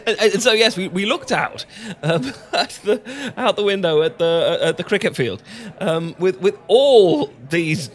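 A man's voice: high-pitched, animated, measured pace, pitched above the performer's own voice. A man with a British accent playing a flustered, smitten young English professor in a romantic audio roleplay, stammering and politely old-fashioned. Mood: giggly, amused. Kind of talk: romantic ASMR roleplay acting.

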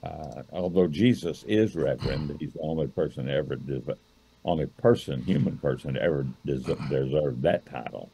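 A man's voice: a bass voice, moderate pace, creaky, mellow, slightly rough, low-quality recording. A man who calls himself a preacher, explaining scripture earnestly in a casual discussion over a video call. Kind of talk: casual Bible study discussion.

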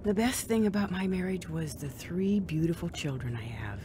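A woman playing a gentle, devout young divorced mother in a radio drama. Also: on the low side, animated, moderate pace, background noise, lightly acted. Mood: gentle, encouraging.

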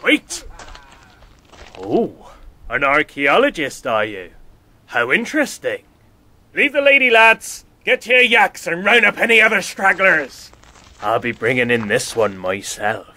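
An actor playing a genial but sly rustic villager in an audio drama, folksy and theatrical. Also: male voice, a little high, sing-song, a little slow, pushed and bright, heavily acted. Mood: gloating, commanding.

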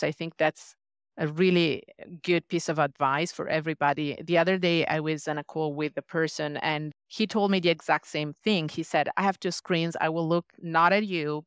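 A woman's voice: deep voice, clean tone, no room sound, studio quality. A woman with an Italian accent, a leadership coach, speaking thoughtfully as a guest in a podcast interview. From Italy. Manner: thoughtful, reflective, earnest.

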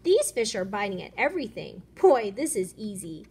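A woman voicing a taunting teaser in a children's storybook with loud, drawn-out jeers. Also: medium pitch, big pitch swings, slightly rough, heavily acted. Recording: noisy room ambience.

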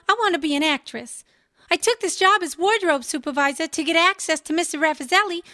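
An actress playing a young, eager would-be starlet with a plaintive, gushing manner. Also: female voice, very high voice, bright, forceful tone, no echo, studio quality, exaggerated voice.

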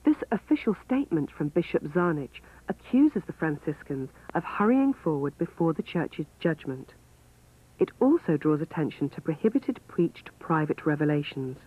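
A man giving scripted documentary narration in a measured, formal way. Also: fairly high pitch, big pitch swings, slightly rough. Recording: phone-like band, faint hiss.

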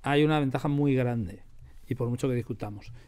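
A man's voice: medium pitch, fairly quick, darkish tone. A man with an accent from Spain chatting casually in an interview as a director, informal and emphatic, often repeating himself. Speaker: radio drama director and sound mixer. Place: radio drama studio.

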